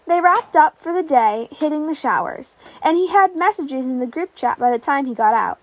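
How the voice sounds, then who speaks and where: A woman's voice: high voice, animated, moderate pace. A woman reading scripted fan fiction narration as a podfic. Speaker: podfic reader.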